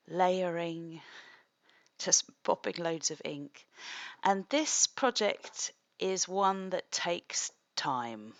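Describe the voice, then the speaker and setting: A woman with a croaky morning voice calmly explaining a craft project step by step at home, gentle and chatty with little asides. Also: fairly low pitch, no room sound. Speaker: craft tutorial host. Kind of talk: calm step-by-step craft demonstration.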